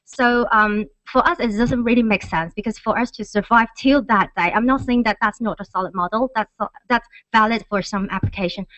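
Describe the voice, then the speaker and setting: A woman's voice: a little high, fairly quick, a bit bright, no echo. A woman speaking as a startup founder, presenting and explaining at a conference. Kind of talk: conference pitch and question answering. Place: conference stage. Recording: dull, lo-fi event recording.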